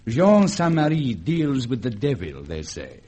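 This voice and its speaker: Animated French Creole aide. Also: male voice, mid-range voice. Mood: confiding. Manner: polite, dramatic, halting storytelling. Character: deferential, gossipy French Creole government aide, nervous storyteller. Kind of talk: vintage radio drama acting.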